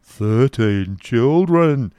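A man voicing a gloomy, petulant apparition in a storybook reading, with a grim, drawn-out, snarling delivery. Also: fairly low pitch, a little mellow, clean tone, no room sound, clean studio sound, natural voice. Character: gloomy, petulant male apparition urging despair.

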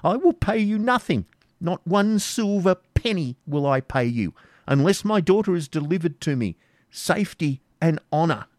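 A man's voice: high voice, moderate pace, a little mellow, dry recording, studio quality, voice pushed up. A man voicing a frail, desperate old father who pleads passionately for his daughter. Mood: defiant. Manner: impassioned, imploring.

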